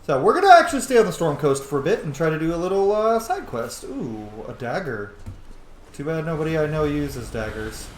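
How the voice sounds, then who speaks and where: A man's voice: a little high, sing-song, a little fast. A man doing casual, chatty, informal gaming commentary as he plays. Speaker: gaming video host. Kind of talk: casual roleplay playthrough commentary. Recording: roomy sound with faint noise.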